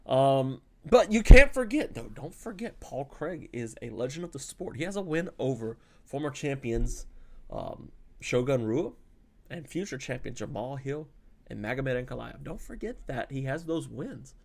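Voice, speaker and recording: A man's voice: medium pitch, big pitch swings, moderate pace, gravelly. A man from South Louisiana hosting a casual fight breakdown, chatty and rambling, with lots of fillers and self-corrections, speaking close to a desk mic. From South Louisiana, USA. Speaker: fight analyst host.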